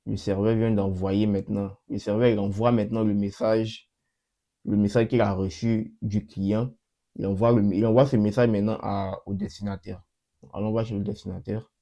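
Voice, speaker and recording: Low flat-voiced man with a cold. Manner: repeats himself, many fillers and restarts. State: has a cold, voice a bit off. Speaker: channel host. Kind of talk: casual screen-recorded coding walkthrough.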